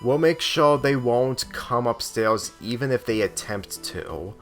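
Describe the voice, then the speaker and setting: Calm, reassuring young woman, a man reading her, storybook dialogue with light music behind. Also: mid-range voice, a little slow. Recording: music under the voice.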